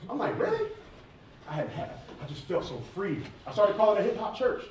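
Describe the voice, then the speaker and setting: A man's voice: high-pitched, wildly swooping pitch, fairly quick, a little mellow. A man in his thirties, a rapper speaking informally and emphatically to students, repeating phrases as he answers questions. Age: 30s. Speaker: rapper and stage performer answering audience questions. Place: roomy indoor campus event space. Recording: echoey room, distant mic, faint noise.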